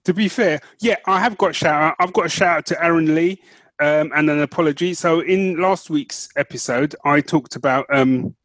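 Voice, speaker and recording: A man's voice: a little high, normal speed, fairly bright tone, no room sound. A British man co-hosting a podcast in casual, playful, slangy and teasing banter. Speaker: podcast co-host.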